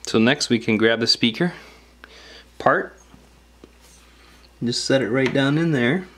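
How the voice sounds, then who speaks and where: A man's voice: medium pitch, slow pace, sharp tone, slight background noise. A man giving casual, step-by-step hands-on instructions in a plain-spoken, informal way. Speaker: repair tutorial host.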